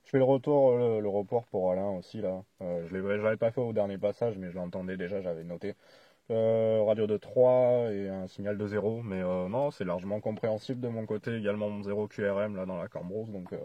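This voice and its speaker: A man's voice: on the low side, fairly even intonation, brisk, dry recording, muffled recording. A man chatting casually as a CB radio operator, taking his turn on the microphone. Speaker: CB radio operator filming a video.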